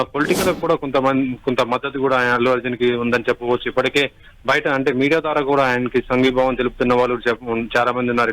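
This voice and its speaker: A man giving a live unscripted news report, halting and repeating himself as he goes. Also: mid-range voice, noisy background. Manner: halting, repetitive, restarts words.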